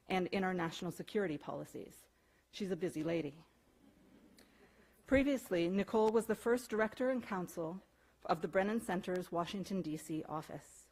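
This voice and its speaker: A woman's voice: mid-range voice, darkish tone. Woman reading a formal introduction. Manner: reads prepared remarks, brisk and formal. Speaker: event organizer introducing speakers. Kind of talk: scripted introduction. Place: event room before an audience.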